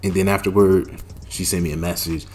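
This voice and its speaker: A young man casually telling a personal story, animated and profane, with loud bursts and lots of slang. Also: low-pitched, fairly quick, slight background noise. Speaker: storytime vlogger.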